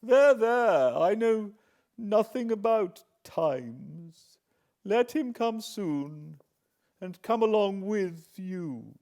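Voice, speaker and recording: A man's voice: sits high, animated, drawn-out words, a little mellow, voice pushed up, full character voice. A British man voicing an imperious, impatient old lady in an audiobook, curt and haughty. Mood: impatient, dismissive. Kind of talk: acted audiobook character.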